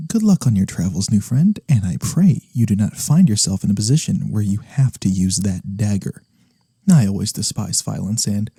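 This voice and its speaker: A man playing a gentle, courteous incubus demon in a soft-spoken ASMR fantasy roleplay, polite and a little hesitant, with a breathy voice that sometimes drops to a whisper. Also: lowish voice, dry recording, studio quality, heavily acted. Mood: tender farewell.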